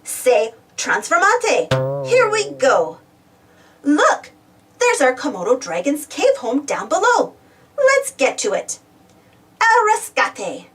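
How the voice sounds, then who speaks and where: A woman reading a storybook aloud to children in an expressive, exclamatory way, putting questions to her young listeners. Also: sits high, slow, soft background music. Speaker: storybook reader. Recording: distant from the mic.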